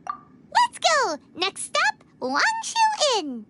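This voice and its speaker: A woman voicing a tiny, excitable childlike fairy companion in a video game, peppy and blunt. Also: extremely high pitch, drawn-out words, very noisy, full character voice. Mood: excited. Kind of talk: video game character dialogue. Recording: game music behind the voice.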